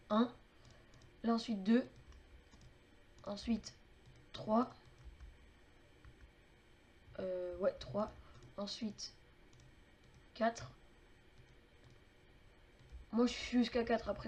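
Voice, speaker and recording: A woman's voice: medium pitch, darkish tone, dull audio. A woman narrating a casual game tutorial while building, chatty and full of fillers and little clicking sounds.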